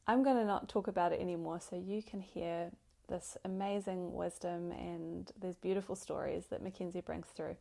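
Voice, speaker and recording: A woman hosting a podcast in a casual, appreciative way. Her voice is gravelly and a little hoarse from nearly going, with plenty of fry and a middling pitch. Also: mellow. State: recovering from nearly losing voice. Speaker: podcast host. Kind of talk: warm-up introduction, casual podcast talk.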